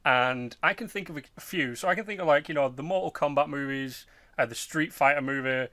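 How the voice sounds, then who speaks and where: A man in his twenties hosting a casual podcast chat about films and games, telling things in an animated, informal way with mild swearing. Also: on the high side, brisk, dry recording. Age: 20s. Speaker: podcast host.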